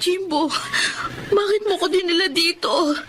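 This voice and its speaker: A woman's voice: very high-pitched, measured pace, loud music behind the voice. A woman playing a terrified but defiant young captive in a Filipino radio drama, pleading tearfully and desperately. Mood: frightened, pleading.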